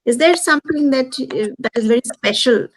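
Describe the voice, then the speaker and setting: A woman's voice: sits high, brisk, poor audio. A woman interviewer asking a long question hesitantly, with many fillers. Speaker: co-host interviewer.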